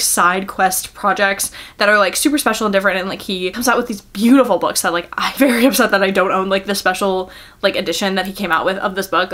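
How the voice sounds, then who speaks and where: Young woman, medium pitch, casual book vlog style, giggly, rambling, self-deprecating. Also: a bit bright. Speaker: book vlogger.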